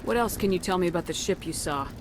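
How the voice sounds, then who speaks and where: An actress playing a firm space soldier in a video game, speaking directly and with authority. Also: female voice, on the low side, animated, fast talker, heavy background noise, lightly acted. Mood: firm, questioning. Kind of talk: dramatic video game acting.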